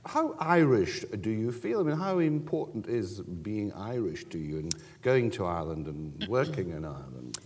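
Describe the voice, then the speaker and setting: A man's voice: fairly low pitch, sing-song, moderate pace, few pauses, darkish tone, husky. A man interviewing an actor onstage, courteous and attentive. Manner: courteous, attentive, frequent murmured assent.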